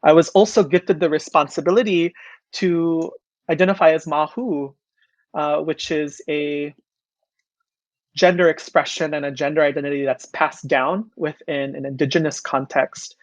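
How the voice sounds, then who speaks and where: A speaker from Hawaii whose first language is Hawaiian, a choral artist answering interview questions thoughtfully, with frequent pauses and restarts. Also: medium pitch, steady tone, no echo. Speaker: choral artist, podcast guest. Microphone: video call.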